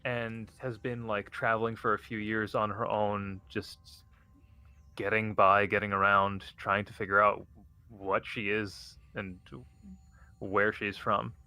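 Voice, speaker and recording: A man at a tabletop game, describing his character in a halting way, often restarting his words. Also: fairly low pitch, flat delivery, bright, forceful tone, clean tone, dry recording. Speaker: player. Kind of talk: casual chat.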